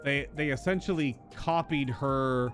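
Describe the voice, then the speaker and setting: A man's voice: medium pitch, a little slow, a bit bright. A man streaming a video game, chatting and musing aloud as he gives live commentary on the story. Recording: music behind the voice. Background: video game music.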